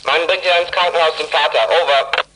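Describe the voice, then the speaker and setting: A sharp-voiced sly young biker over radio. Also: male voice, on the high side, fairly even intonation, brisk. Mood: urgent, deceitful. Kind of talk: German children's radio play acting. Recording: noisy, clicking CB radio transmission.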